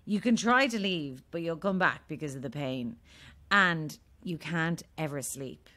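A woman's voice: deep voice, expressive, a little slow, pushed and bright, full character voice. An actress playing a sardonic, world-weary woman trapped at an endless cursed party, dry and blunt, in an audio drama. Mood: ominous, weary.

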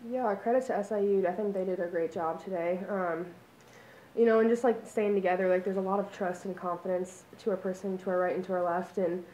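Fast-talking young woman athlete. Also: medium pitch, fairly even intonation, warm tone, roomy sound, a little hiss or hum, poor audio. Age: young adult. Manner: upbeat, quick, many likes. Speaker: college basketball player. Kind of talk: post-game press conference answers. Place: press conference room. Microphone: table microphone.

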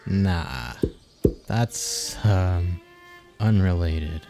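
A man's voice: sits low, lively intonation, drawn-out words, full character voice. A man playing a hapless, earnest young wanderer and failed bandit in a comic dub, hesitant and slangy. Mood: weary, sheepish. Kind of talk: manga comic dub acting. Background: music under the dialogue.

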